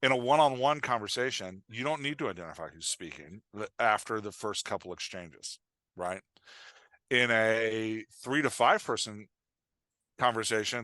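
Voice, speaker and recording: A man's voice: lowish voice, sharp tone, no room sound. A man chatting casually as a podcast guest, coaching on a craft, explaining with improvised examples and frequent "like" and "right?" check-ins.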